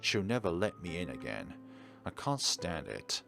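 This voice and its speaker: A man's voice: low voice, wildly swooping pitch, a little slow, vocal fry, over music, full character voice. A man reading an anguished, self-blaming teenage schoolboy who pleads and narrates his own story in a visual novel. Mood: despairing.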